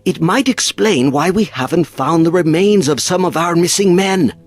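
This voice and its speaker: An actor playing a stern but fair-minded naval captain in a period audio drama, formal and authoritative. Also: male voice, on the high side, normal speed, dry recording, faint music behind, lightly acted. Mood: grave.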